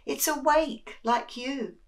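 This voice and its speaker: A woman's voice: high-pitched, takes it slowly, gravelly, voice pushed up, exaggerated voice. A woman voicing a grumpy, tired older male mouse who brushes off requests in a curt, matter-of-fact way. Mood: weary firm. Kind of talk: storybook read-aloud. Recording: voice from a distance.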